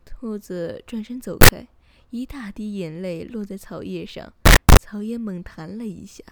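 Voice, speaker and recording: A woman's voice: medium pitch, unhurried, very noisy. A woman radio host reading a scripted bedtime story in a soothing narration style.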